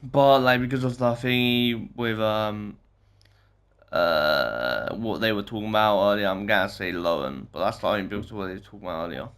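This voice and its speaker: A man's voice: lowish voice, moderate pace, a bit bright, clean tone. A man giving casual live commentary as a game streamer, thinking aloud hesitantly about the story.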